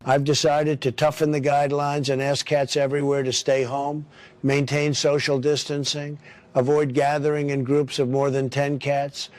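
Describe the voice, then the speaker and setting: A man's voice: mid-range voice, little pitch movement, moderate pace, a little mellow, lightly acted. A man voicing a boastful, paranoid male cat demagogue in a satirical audio story, delivering pompous, repetitive political speeches. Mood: solemn, self-important.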